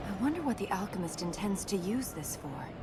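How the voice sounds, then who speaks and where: An actress playing a serious, guarded young princess in a video game, explaining earnestly and answering with dry wit. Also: female voice, medium pitch, big pitch swings, brisk, over loud music, close to an everyday voice. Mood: pondering.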